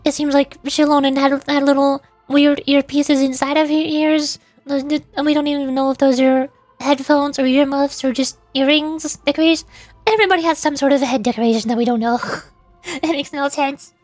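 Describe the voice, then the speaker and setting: Female VTuber, high voice, loose rambling chat with viewers, dry sound over faint music. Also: steady tone, moderate pace, a little mellow, dry recording. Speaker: VTuber game streamer. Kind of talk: casual livestream commentary.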